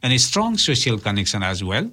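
A man's voice: fairly low pitch, big pitch swings, a little fast, pushed and bright. Earnest, formal man, native Nepali speaker. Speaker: interview guest, community worker and officiant for migrants and refugees.

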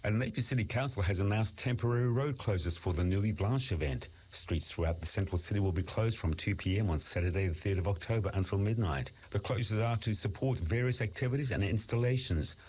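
A man reading a scripted local news bulletin in a measured, even way. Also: fairly low pitch, breathy edge. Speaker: news reader.

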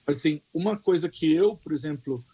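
A Brazilian man, a teacher, speaking with a Brazilian Portuguese accent in casual conversation, earnest and reflective, with halting restarts. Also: fairly high pitch, warm tone, breathy edge, low-quality recording.

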